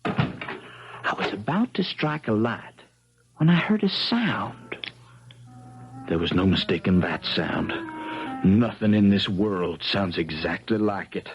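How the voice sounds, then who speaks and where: A man's voice: lowish voice, big pitch swings, slow, long pauses, music in the background, heavily acted. A man playing a shrewd, folksy young lawyer, telling and acting his story in a 1970s radio drama. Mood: suspenseful storytelling. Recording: dull 1970s radio broadcast recording.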